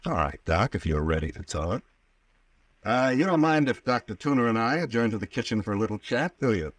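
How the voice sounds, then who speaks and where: An actor playing a wry, skeptical, unflappable police captain in an old-time radio drama, questioning in a dry, teasing manner. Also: male voice, medium pitch, expressive, fairly quick, slight background noise, close to an everyday voice. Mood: insistent. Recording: vintage radio recording, slightly distant.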